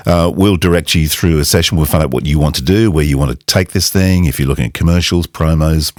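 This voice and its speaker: Very deep-voiced older man, close and dry. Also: fast talker, no room sound. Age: 60s. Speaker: voiceover artist and demo coach. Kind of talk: conversational explainer to camera.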